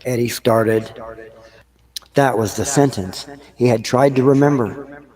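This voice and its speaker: A man's voice: mid-range voice, measured pace, darkish tone. A man reading scripted narration for an audio drama. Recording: long hall-like reverb.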